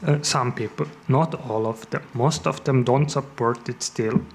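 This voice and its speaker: A man's voice: medium pitch, unhurried, slight background noise. A man speaking as a panelist, plain and somewhat hesitant. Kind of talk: panel discussion.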